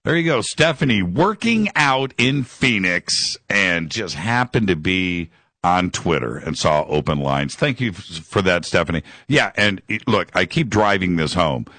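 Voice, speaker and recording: A male radio host with a low voice that swings up and down with feeling. He talks with eager enthusiasm, doubling back on his words and filling gaps with "uh" and "you know". The sound is close and dry, like a studio mic. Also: sing-song, measured pace, bright, forceful tone, dry recording. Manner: enthusiastic, repeats himself, lots of fillers. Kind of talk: live call-in radio talk.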